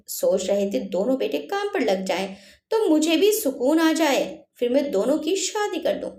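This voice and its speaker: Woman reading out a story, super expressive pitch, bit high, roomy echo. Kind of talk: scripted story narration.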